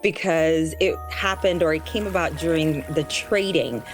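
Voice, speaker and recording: A woman's voice: deep voice, measured pace. A woman from Tanzania whose first language is Kiswahili, a guest explaining things on a kids' podcast. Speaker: guest educator and author. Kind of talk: podcast interview. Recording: music bed underneath.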